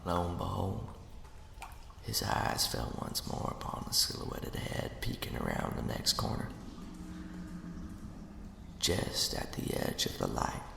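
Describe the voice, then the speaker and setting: Sinister ghost storyteller played by a man: extremely low, gravelly voice, folksy menace, spooky ASMR roleplay. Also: wildly swooping pitch, background noise, exaggerated voice. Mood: ominous storytelling. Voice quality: breathy, gravelly whisper. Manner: slow, deliberate, hushed, folksy and menacing. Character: sinister ghost of a murdered right-hand man, spinning a spooky tale. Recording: reverberant, cavernous echo.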